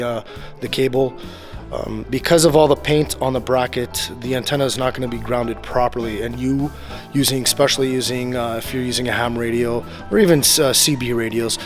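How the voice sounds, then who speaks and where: A man giving a casual how-to narration on installing a radio in his truck, talking in a relaxed, rambling way with lots of fillers. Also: mid-range voice. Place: parking garage beside his truck.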